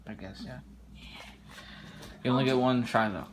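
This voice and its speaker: A woman's voice: very deep voice, normal speed. A woman giving casual, brief replies as the judge of a challenge. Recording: very noisy home video sound.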